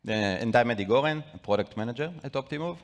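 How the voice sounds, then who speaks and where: A man's voice: mid-range voice, lively intonation, fast talker, long reverb tail. A man co-presenting at a conference, giving a brief, rehearsed introduction. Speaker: product manager co-presenting. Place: conference stage.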